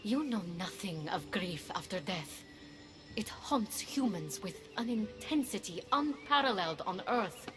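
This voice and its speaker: An actress playing an aloof, authoritative rebel mentor in a video game, with stern and formal delivery. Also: female voice, mid-range voice, expressive, a little slow, close to an everyday voice. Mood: grave, imperious. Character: stern, commanding, aloof woman guiding a rebellion. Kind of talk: dramatic video game acting. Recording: music behind the voice.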